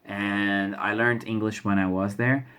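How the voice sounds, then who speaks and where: Young adult man, Brazilian accent, slightly low pitch; casual halting vlog delivery with frequent restarts. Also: level tone, pushed and bright, clear, resonant tone. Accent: Brazilian Portuguese. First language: Portuguese. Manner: halting, many restarts and repeated words. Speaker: language learner sharing exam experience. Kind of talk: casual vlog talk to camera.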